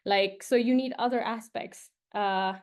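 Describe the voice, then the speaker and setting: A woman's voice: a little high, steady tone, measured pace. Young woman scientist giving casual talk. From Nepal. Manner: casual, many fillers and restarts. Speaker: postdoctoral researcher. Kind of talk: informal presentation to prospective postdocs.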